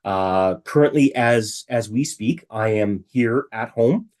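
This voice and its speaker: A middle-aged man with a raspy voice from illness, giving a chatty webinar talk from home and often asking rhetorical questions. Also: on the low side, slow, a little mellow. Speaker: webinar presenter. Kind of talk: casual presentation.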